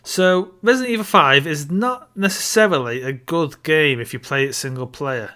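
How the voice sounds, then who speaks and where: A man's voice: fairly high pitch, moderate pace, bright, forceful tone. A man co-hosting a podcast, chatting casually about films and games. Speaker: podcast co-host.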